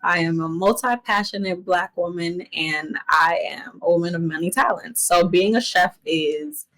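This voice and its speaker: A woman's voice: on the low side, moderate pace, a bit bright. A woman in her late twenties, a chef, talking as a podcast guest in an upbeat, reflective way. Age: 20s. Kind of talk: casual interview chat.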